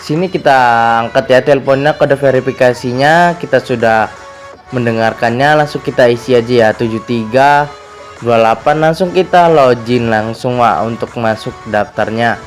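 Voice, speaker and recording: A slightly hoarse man narrates a casual tutorial as host, chatty and fond of repeated verbal tags. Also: mid-range voice, normal speed, faint music behind.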